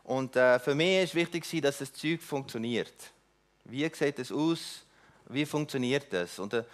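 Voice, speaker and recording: A middle-aged man whose first language is not German gives an earnest church talk about mission, halting often with restarts and fillers. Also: mid-range voice. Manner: earnest, inviting. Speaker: mission speaker.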